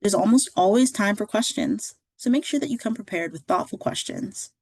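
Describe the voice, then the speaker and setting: Woman doing a training video voiceover, clear measured instructive read, medium pitch, really dry close mic. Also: sing-song, a little mellow, no room sound. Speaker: career services presenter. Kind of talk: scripted instructional narration.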